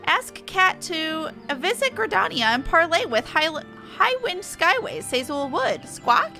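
Woman voicing a squawky, bright birdlike creature. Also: extremely high pitch, very animated, a little slow, soft background music, voice pushed up, heavily acted. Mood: resolute. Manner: squawking, speaks in inverted sentences. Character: gruff, broody birdlike beast-tribe creature with inverted grammar.

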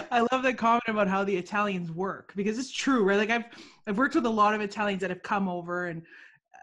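A woman's voice: medium pitch, brisk, a bit bright. A woman in her thirties hosting an interview over a video call, upbeat and playful. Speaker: show host. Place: home office, indoors. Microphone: large studio mic, headset earpiece.

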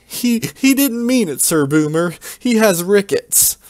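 A man's voice: high-pitched, animated, a little slow, a little mellow, dry recording, studio quality, voice pushed up. A polite young male adventurer, as a man telling a story puts him on, speaking with stiff, old-fashioned courtesy. The voice sits well above a plain speaking voice. It sounds like an earnest storybook hero. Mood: courteous.

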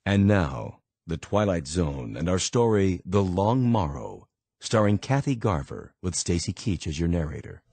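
A man's voice: low-pitched, animated, a little slow, a little mellow, husky. A man announcing titles and cast for a radio drama in a scripted style.

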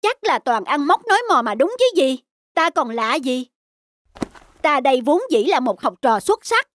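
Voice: high voice, sing-song, fairly bright tone, dry recording. An actor voicing an arrogant, scheming young scholar in a cartoon, boastful and sneering. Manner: boastful, sneering, drawn-out taunts. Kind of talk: cartoon voice acting.

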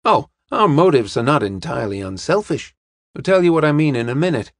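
A man playing a cheerful, brisk young American man in an audiobook, informal and upbeat. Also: on the high side, sing-song, no echo, studio quality, voice pushed up.

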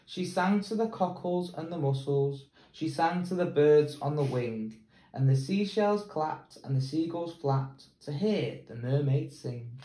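A young man with an English accent, a teacher reading a picture book aloud in a slow, measured way, filmed indoors on a close camera. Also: fairly high pitch, expressive, warm tone, echoey room, poor audio. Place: plain indoor room.

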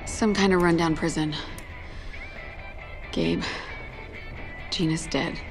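A woman's voice: on the low side, fairly even intonation, slow, music in the background. A woman playing a resolute, level-headed young survivor in a video game, firm and direct.

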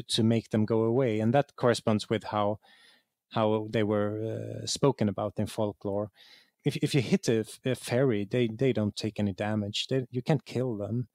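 A man giving conversational explanations as an interview guest, halting and correcting himself as he searches for words. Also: lowish voice, a little mellow, no room sound, clean studio sound. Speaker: guest, game writer.

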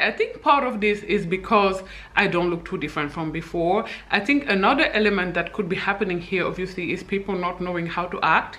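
A young woman from Senegal talking candidly to camera in a personal vlog, chatty, with frequent fillers and light laughs, recorded indoors in a bright living room. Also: lowish voice. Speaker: vlogger.

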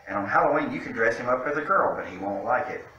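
A man's voice: mid-range voice, expressive, fast, bright, forceful tone, muffled recording. A middle-aged man reading a picture book aloud to children in an indoor room, picked up by a distant camera microphone. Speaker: guest storytime reader, city official. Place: indoor room, seated by a fireplace mantel. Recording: very echoey room, distant mic, faint noise.

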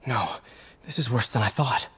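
A man's voice: mid-range voice, normal speed, fairly bright tone, slightly rough. An actor playing an earnest, caring young male lab scientist, speaking naturally and hesitantly. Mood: worried. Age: young adult.

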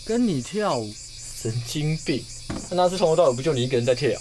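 A man's voice: fairly high pitch, lively intonation, brisk, darkish tone, a little hiss or hum, natural voice. An actor playing a cocky, mocking young male schoolmate in a radio drama. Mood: scornful. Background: music.

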